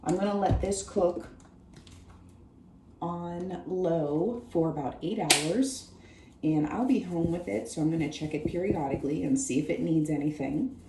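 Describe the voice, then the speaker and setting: A woman hosting her own home cooking show with a hoarse, worn voice, chatting viewers through each step of a recipe in her kitchen. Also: lowish voice, measured pace, reverberant, noisy background. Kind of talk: casual cooking demonstration.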